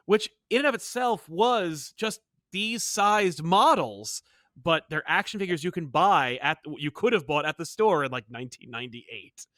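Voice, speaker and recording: A man's voice: on the high side, animated, normal speed, fairly bright tone, no room sound. A man hosting a podcast, chatting casually about pop culture in an opinionated, emphatic way. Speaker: podcast host.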